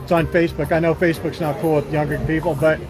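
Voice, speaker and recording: A man hosting a casual walking live stream outdoors, chatting with strangers in a teasing, quick-questioning way. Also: a little high, level tone, music in the background. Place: outdoors in a busy city park.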